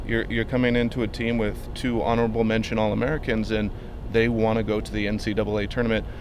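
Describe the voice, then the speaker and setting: A man's voice: on the low side, flat delivery, quick pace, noisy background. A man in his thirties hosting a sports interview in the manner of a radio broadcaster. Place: large empty indoor arena.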